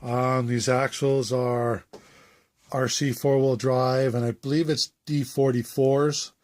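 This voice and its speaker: A man with a cold and a phlegmy throat, talking through a hobby build update in a halting, unscripted way with many fillers. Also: mid-range voice, level tone, darkish tone. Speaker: RC hobbyist showing his build.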